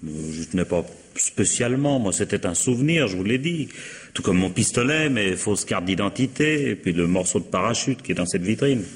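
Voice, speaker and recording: An actor playing a dignified, defensive widower under suspicion, guarded and pointed, in old radio mystery style. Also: male voice, fairly low pitch, animated, brisk, close to an everyday voice. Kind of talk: 1950s French radio mystery acting. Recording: reverberant, noisy old radio drama sound.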